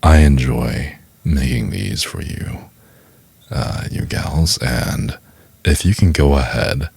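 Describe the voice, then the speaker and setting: A man with a deep, gravelly voice, an ASMR creator talking casually to his viewers in a rambling, hesitant, joking way. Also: no echo.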